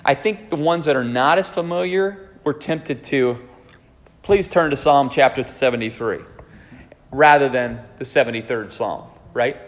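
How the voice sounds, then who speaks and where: A man's voice: medium pitch, unhurried. Man in his forties, pastor teaching in a church, chatty, hall reverb. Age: 40s. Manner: conversational, checks in with listeners. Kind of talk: teaching sermon. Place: church sanctuary.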